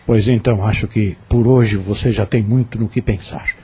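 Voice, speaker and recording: A Brazilian man playing a calm, caring confidant who gently counsels in an audio drama. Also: on the low side, normal speed, slight background noise, natural voice. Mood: gentle, admonishing.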